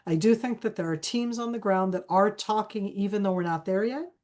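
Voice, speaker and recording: A woman from Israel who has a cold, talking as a panel guest at an ordinary pitch. She is emphatic, repeats key phrases for weight, and restarts her sentences often. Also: brisk, darkish tone. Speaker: guest speaker on a webinar panel. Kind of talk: conversational analysis in an online Q&A.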